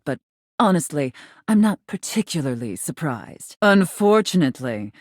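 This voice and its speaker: An actress playing a haughty, sultry, domineering mistress in a video game, commanding and condescending, with drawn-out teasing emphasis. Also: female voice, fairly low pitch, no room sound, clean studio sound. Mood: smug, teasing. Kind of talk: theatrical video game character acting.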